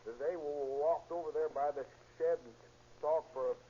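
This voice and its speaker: An actor playing a working-class laborer with a heavy, halting stutter in 1930s radio drama style, on an old radio recording. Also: male voice, fairly high pitch, dark tone, highs cut off, slight background noise, natural voice. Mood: halting, earnest. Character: stammering working-class city laborer, plain and eager witness.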